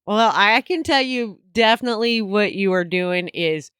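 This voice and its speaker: A woman's voice: medium pitch, animated, moderate pace, fairly bright tone, smooth, dry recording, studio quality. A woman in her fifties, co-hosting a podcast with laughing, teasing banter. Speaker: podcast co-host. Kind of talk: casual chat.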